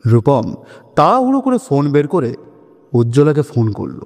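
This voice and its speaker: A man narrating a dramatized audio story in an expressive storytelling manner. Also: on the high side, moderate pace, big-room sound.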